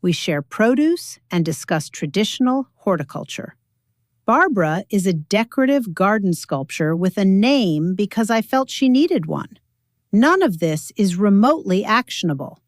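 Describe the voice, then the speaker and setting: A woman narrator plays an older mother who will not be ruffled. She is deadpan and precise, stating everything as plain fact in an audio drama. The sound is dry, the pitch medium. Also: moderate pace, no echo, lightly acted. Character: unflappable older mother, retired teacher and gardener.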